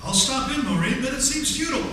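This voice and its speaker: A man's voice: very high voice, lively intonation, fast talker, pushed and bright, heavily acted. A man playing a kindly old Santa actor bantering in a 1940s-style radio show. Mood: genial. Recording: distant echoey hall, faint noise.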